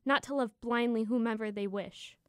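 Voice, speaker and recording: Lady as a snooty goddess of love, formal and bossy, highish voice, dry audio drama. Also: steady tone, normal speed, clean tone, dry recording, close to an everyday voice. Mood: haughty. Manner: formal, imperious. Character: haughty, scornful goddess of love.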